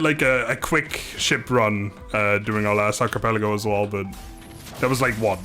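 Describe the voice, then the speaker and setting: A man's voice: medium pitch, fairly bright tone. A man hosting a live game stream, chatting casually and jokingly with his viewers and often laughing. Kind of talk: casual live gaming commentary and chat.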